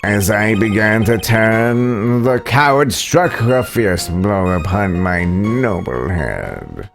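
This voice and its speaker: Man doing a pompous, slow-talking ace detective. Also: lowish voice, big pitch swings, vocal fry, faint music behind, exaggerated voice. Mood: pompous, grandiose. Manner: pompous, theatrical. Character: pompous, self-admiring male ace detective. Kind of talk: dramatic video game dialogue reading.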